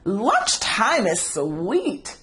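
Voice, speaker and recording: Woman putting on a loud, excitable young boy shark. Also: mid-range voice, full character voice. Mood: boisterous, excited. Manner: shouting, exuberant, drawn-out exclamations. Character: loud, wild, over-excited young male shark pupil.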